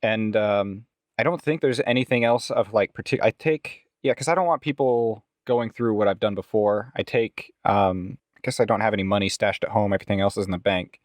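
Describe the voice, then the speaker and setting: Man, lowish voice, dry close sound, casual role-play, talking through his character's actions. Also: fairly quick, no echo. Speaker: role-playing game player.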